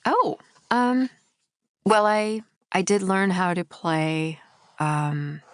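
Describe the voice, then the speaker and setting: A middle-aged woman with a naturally gravelly voice, co-hosting a podcast in casual, chatty conversation and quick to laugh. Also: medium pitch, fairly even intonation, no echo, clean studio sound. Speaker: podcast co-host. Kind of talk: casual chat.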